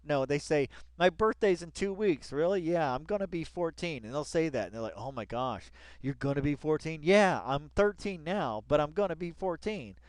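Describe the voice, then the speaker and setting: A man's voice: a little high, clear, resonant tone, no room sound. A man hosting a casual read-along commentary in slangy, colloquial speech, emphatically repeating phrases and addressing people directly. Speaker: online video host.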